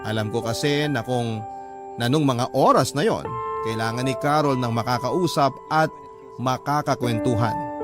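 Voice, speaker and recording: A man's voice: mid-range voice, lightly acted. A man with a Filipino accent playing a protective young storyteller, narrating his love story earnestly in a scripted radio drama. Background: background music.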